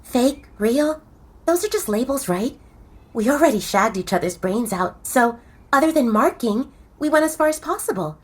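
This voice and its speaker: A woman's voice: a little high, expressive, normal speed, breathy edge. A bold she-wolf model. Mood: persuasive. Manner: direct, breezy, persuasive. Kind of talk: audio drama acting.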